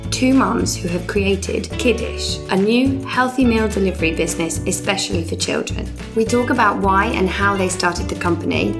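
Podcast host, woman, encouraging and brisk, mid-pitch, with a music bed underneath. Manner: upbeat, encouraging, quick reactions. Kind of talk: friendly interview chat.

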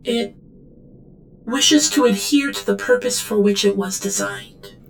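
A woman playing an obedient, guarded android servant in an audio drama, speaking in a formal, measured and deferential way. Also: mid-range voice, faint music behind, close to an everyday voice. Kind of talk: scripted audio drama acting.

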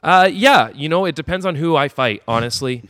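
A man's voice: on the high side, animated, fairly quick, a bit bright, no room sound, studio quality. A man being interviewed on a podcast as a wrestler, talking in a cocky, boastful heel manner. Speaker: wrestler guest.

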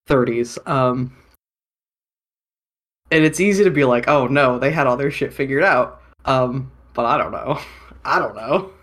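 Podcast co-host, man in his 30s, rambly, self-deprecating, even middle pitch. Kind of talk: casual chat.

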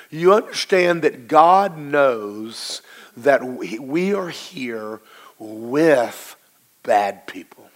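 Hoarse, low-voiced man preaching. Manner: emphatic preaching with slow drawn-out stresses. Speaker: pastor. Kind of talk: sermon.

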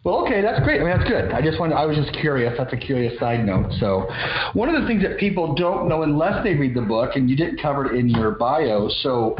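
A man's voice: medium pitch, very animated, a little fast, lo-fi sound, noisy background. Rambling male interviewer, echoey room. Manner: rambling, affirming questions. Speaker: interview host. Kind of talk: conversational interview.

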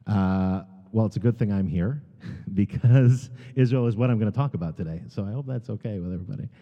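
A middle-aged American man giving a sermon as a guest speaker, halting and earnest, with many ums and restarts. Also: on the low side, warm tone. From United States. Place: large synagogue sanctuary. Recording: long hall reverb, dull sound.

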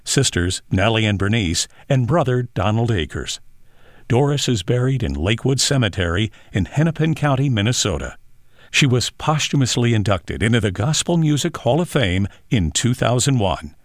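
A male narrator reads a scripted biography in a medium-pitched voice with animated intonation. The recording is close and dry, with very little room around it. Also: normal speed, no room sound.

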